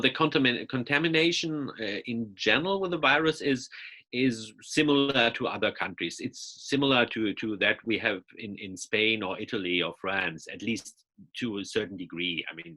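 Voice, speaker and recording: German-accented male guest, lowish voice, bright edge, halting delivery with frequent fillers. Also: poor audio. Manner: hesitant, many fillers and restarts. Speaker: interview guest. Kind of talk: video call interview. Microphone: video call.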